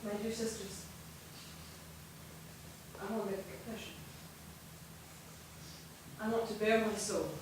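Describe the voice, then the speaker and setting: An actress playing an intense sister urgently confiding a secret love on stage. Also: female voice, on the high side, very animated, normal speed. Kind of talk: stage acting. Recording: echoey stage from far off, faint noise.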